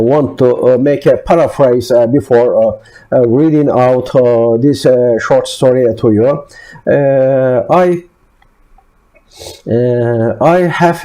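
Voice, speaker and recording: A man with a Turkish accent, hoarse from a flu, introducing his channel in a slow, hesitant way full of filler sounds. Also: mid-range voice, warm tone. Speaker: YouTube channel host. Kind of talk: halting spoken introduction.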